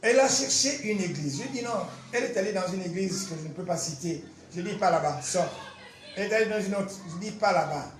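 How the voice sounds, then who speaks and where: A man, a pastor giving an animated, emphatic testimony and teaching with repetitive storytelling. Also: high voice, fast talker. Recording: roomy echo, faint music behind.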